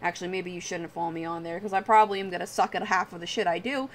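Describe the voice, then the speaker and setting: A woman's voice: on the low side, fairly even intonation, rapid-fire. A woman livestreamer chatting casually while she draws, rambling and self-deprecating, with casual swearing. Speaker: livestream host.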